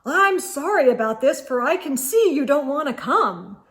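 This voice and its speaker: A woman's voice: high voice, very animated, normal speed, a bit bright, reverberant, voice pushed up. A woman voicing an eager male moose, polite but insistent, in a children's storybook read-aloud.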